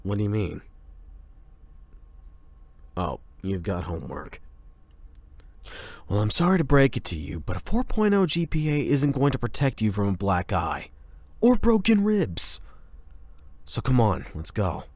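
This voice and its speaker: A man acts out a teenage tsundere delinquent for an anime boyfriend roleplay. His voice sits fairly low but moves in very animated swings, recorded dry and close. He is brusque, exasperated and defensive, hiding that he cares. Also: unhurried, long pauses, no echo, full character voice. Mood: cocky, challenging. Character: gruff tsundere teenage male delinquent, impatient, secretly caring.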